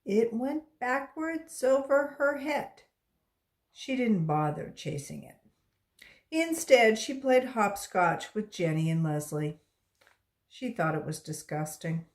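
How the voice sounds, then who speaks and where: A woman reading a storybook aloud to children as a volunteer, in an expressive narrating style. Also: on the high side, slow pace.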